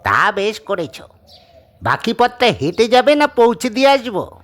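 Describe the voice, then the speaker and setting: A man's voice: sits high, big pitch swings, unhurried, fairly bright tone, heavily acted. A man playing an obliging, rustic village van driver in an audio drama. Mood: helpful. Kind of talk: Bengali audio story acting.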